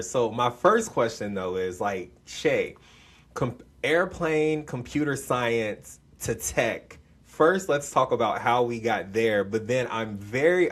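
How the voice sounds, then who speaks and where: A man hosting a podcast interview in a casual, conversational style, informal and curious as he asks questions. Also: on the low side. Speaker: podcast host interviewing a guest.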